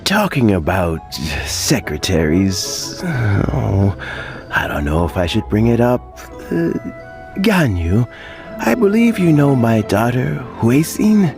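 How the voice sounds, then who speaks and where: A man's voice: mid-range voice, sing-song, slow, music in the background. An actor playing a kindly, modest old statesman in a video game, chatty and chuckling. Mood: genial. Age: older.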